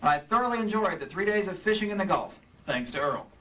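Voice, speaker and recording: A man playing a brisk, level-headed insurance investigator who acts and narrates in an old-time radio drama. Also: sits high, expressive, a bit bright. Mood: dry, wrapping up. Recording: distant live stage recording, faint noise.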